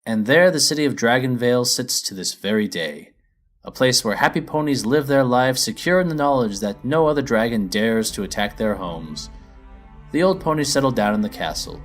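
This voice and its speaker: A man's voice: mid-range voice, sing-song, a little fast, soft background music. A man reading a children's story as its narrator, in a scripted storybook style.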